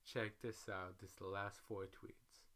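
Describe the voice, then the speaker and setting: A man reading a social media story aloud in an animated, slangy, profane way, as a dramatic storyteller. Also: lowish voice, measured pace. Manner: animated, profane, slangy, acts out each quote. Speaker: reader, storyteller.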